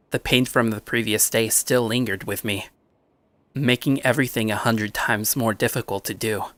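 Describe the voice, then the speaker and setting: Male actor as brooding high school outcast narrating his story: bitter, self-pitying, mid-pitched, sharp tone. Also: no room sound. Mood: weary, brooding. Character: bitter, downtrodden teenage schoolboy telling his own story. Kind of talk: first-person dramatic narration.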